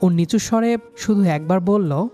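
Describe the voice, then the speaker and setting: A man's voice: high-pitched, measured pace, mellow, faint music behind. A young man narrating a scripted first-person love story in a dramatic, sentimental manner.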